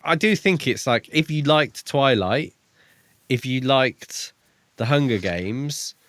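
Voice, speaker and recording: A man's voice: medium pitch, lively intonation, slow pace, fairly bright tone, no echo. A man, co-hosting a podcast in a casual book review chat. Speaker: podcast co-host.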